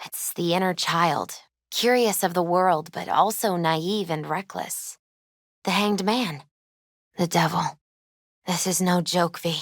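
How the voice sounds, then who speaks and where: A woman playing a gentle, spiritual young tarot reader in a video game, soft-spoken, unhurried and often hushed. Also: on the low side, no echo, clean studio sound. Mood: mystical, measured.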